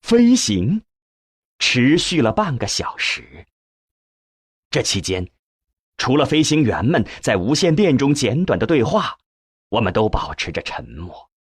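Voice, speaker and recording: Slow, expressive husky male audiobook narrator. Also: medium pitch, no echo, clean studio sound. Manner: measured, deliberate phrasing. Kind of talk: scripted audiobook narration, dramatic storytelling.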